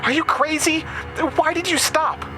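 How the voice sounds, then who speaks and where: A man's voice: very high-pitched, fairly even intonation, a little slow, over music, pitched above the performer's own voice. A man voicing frightened schoolboys in a horror audio drama, urgent and stammering with panic. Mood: panicked.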